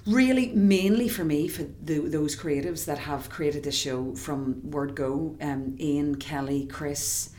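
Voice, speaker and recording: An actress with an Irish accent, a woman, chatting excitedly in an interview with many quick restarts. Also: low-pitched, slight background noise. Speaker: musical theatre actress, guest. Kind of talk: casual interview chat.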